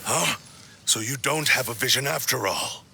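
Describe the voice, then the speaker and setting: A man's voice: mid-range voice, normal speed, vocal fry, pushed and bright, soft background music, exaggerated voice. An actor playing a haughty, brusque young swordsman in a video game, curt and dismissive. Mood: cold, dismissive. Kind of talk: video game cutscene acting.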